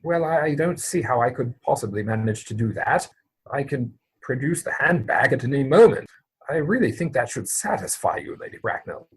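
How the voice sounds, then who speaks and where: A man acts out an earnest young gentleman in a stage comedy. His voice is slightly rough and moves up and down a lot, with formal, hesitant phrasing and an anxious, deferential temper. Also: mid-range voice, lively intonation, normal speed, rough recording. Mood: pleading. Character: earnest, flustered young English gentleman suitor, polite and anxious. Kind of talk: theatrical comedy dramatic reading.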